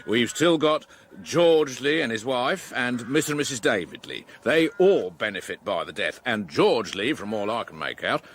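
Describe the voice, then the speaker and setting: An actor with an English accent playing a plain-spoken, methodical police superintendent in a radio drama. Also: male voice, medium pitch, lively intonation, normal speed, slight background noise, lightly acted. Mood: musing, reasoning. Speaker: actor in a detective radio play. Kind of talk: British radio drama acting.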